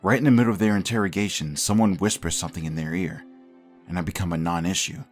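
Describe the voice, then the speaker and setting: A man acts a bewildered, anxious husband in a comic book audio drama. His voice is low and earnest, and music plays softly behind it. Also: fast talker, close to an everyday voice. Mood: bewildered frustration. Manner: earnest, uneasy. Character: worried, bewildered husband hounded by the city.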